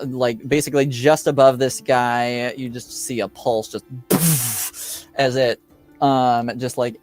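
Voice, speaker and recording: A man runs a tabletop game, describing action as he makes it up. His delivery is halting and thoughtful, in a middle-pitched voice over background music. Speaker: game master. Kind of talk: improvised narration of a tabletop game.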